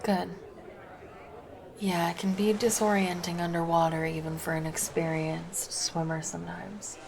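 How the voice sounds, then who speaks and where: Woman plays flirty, caring female lifeguard for ASMR roleplay; unhurried, lowish voice, soft and reassuring. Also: level tone, gravelly, crowd noise. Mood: soothing, reassuring. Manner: soft, intimate, casual, reassuring. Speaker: roleplay voice performer. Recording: background noise under the voice.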